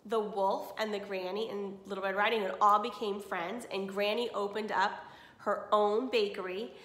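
A woman's voice: medium pitch, steady tone, normal speed, fairly bright tone. Woman teacher in a roomy space. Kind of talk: read-aloud and casual lesson for children. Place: small indoor room at home. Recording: roomy home video recording.

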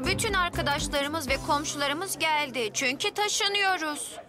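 A woman's voice: high voice, measured pace, loud music behind the voice, a loud crowd. A woman voicing an eager, proud little boy of about five in a children's drama dub, earnest and childlike. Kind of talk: Turkish dub of children's live-action show.

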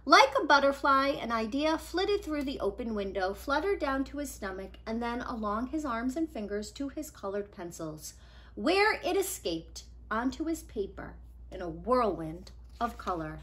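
A woman in her thirties reads a children's story in a high, bright voice. Her pitch moves widely and she leans on key words with deliberate drama. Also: very animated. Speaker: read-aloud storyteller. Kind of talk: expressive children's picture book reading. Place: small living room at home, indoors.

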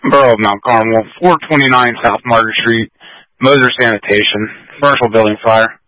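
Bright, dry American male dispatcher on radio. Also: mid-range voice, brisk, no room sound, poor audio. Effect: two-way radio. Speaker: emergency dispatcher. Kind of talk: formal radio dispatch announcements.

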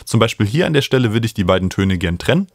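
A man's voice: fairly low pitch, big pitch swings, fast talker, dry recording. A man in his thirties explaining step by step as a tutor, recorded close on a studio microphone. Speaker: host and music production tutor. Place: small home studio room, indoors. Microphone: studio microphone close on a stand.

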